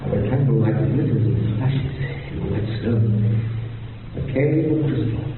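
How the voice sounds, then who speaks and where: A man's voice: medium pitch, measured pace, lightly acted. Man as solemn entranced mystic, poetic, radio play, heavy echo, thin hissy sound. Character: rapt male mystic in a trance-like ritual. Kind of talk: dramatic radio horror acting. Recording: very echoey, thin phone-like band, faint hiss.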